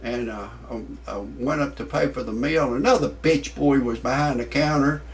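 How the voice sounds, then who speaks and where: A man's voice: mid-range voice, moderate pace, far from the mic. A man, ranting profanely in a stand-up comedy monologue. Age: 60s. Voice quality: profane, blustering.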